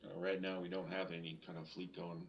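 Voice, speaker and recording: A man's voice: low-pitched, flat delivery, fairly quick. A man in his late thirties chatting casually over voice chat, hesitant and trailing off, with some casual swearing. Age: 30s. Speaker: gamer in voice chat. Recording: muffled voice chat with faint hiss.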